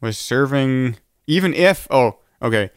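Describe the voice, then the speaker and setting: Male podcast co-host, resonant voice, dry close sound, halting when he reads out his notes. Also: medium pitch, expressive, clear, resonant tone, dry recording. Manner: reads notes aloud, stumbles and restarts. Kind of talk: casual chat reviewing a TV episode.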